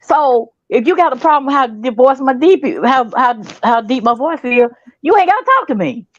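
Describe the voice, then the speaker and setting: A woman guest with a deep voice, speaking emphatically in a casual panel talk. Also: expressive, normal speed, muffled recording. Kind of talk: casual debate chat.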